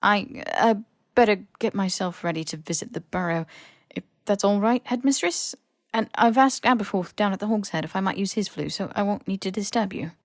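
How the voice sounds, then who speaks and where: A woman voicing an earnest, awkward teenage boy wizard in an audiobook, halting and stammering as the character defends himself. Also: medium pitch, no echo, clean studio sound, natural voice. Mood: sheepish.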